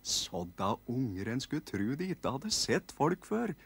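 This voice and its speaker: A man voicing a brisk, motherly gnome wife who gently scolds her children. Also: medium pitch, big pitch swings, gravelly, slightly rough, no echo, voice pushed up, exaggerated voice. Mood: fussing.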